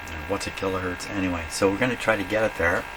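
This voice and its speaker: A man giving an informal hands-on repair demonstration, thinking aloud in a halting way as he works. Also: sits low, fairly even intonation. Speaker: radio repair hobbyist demonstrating. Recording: loud mains hum and workshop noise.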